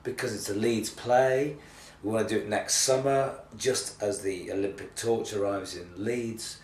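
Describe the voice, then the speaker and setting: Low, slightly croaky man in an echoey room. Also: fairly even intonation, a little slow, darkish tone. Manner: hesitant, rambling, self-deprecating. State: tired, with a bit of a cold. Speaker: theatre artistic director giving a monthly video update. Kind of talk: casual, unscripted video blog chat. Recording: echoey, distant mic, faint noise.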